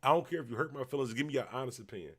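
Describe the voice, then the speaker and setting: A man's voice: mid-range voice, lively intonation, racing, slightly rough. Tipsy, rambling man with a Buffalo accent. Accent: Western New York. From Buffalo, New York. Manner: loose and rambling, trailing off, shouting out viewers. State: a little tipsy. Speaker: livestream host. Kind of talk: casual livestream chat reading comments.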